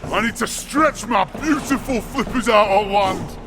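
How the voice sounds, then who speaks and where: Slow, high-pitched pompous frog lord. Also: male voice. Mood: pompous, pleased. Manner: pompous, theatrical, drawn-out. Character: pompous mutant frog lord, foul-mouthed and vain. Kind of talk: audio drama acting. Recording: loud noise behind the voice.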